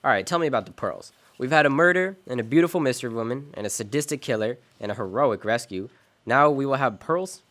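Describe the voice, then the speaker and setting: An actor playing a hard-boiled, wisecracking private detective in a noir radio drama, terse and wry. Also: male voice, mid-range voice, lively intonation, quick pace, a bit bright, close to an everyday voice. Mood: wry, probing. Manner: wry, terse, tough-talking. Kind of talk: noir radio drama acting and first-person narration.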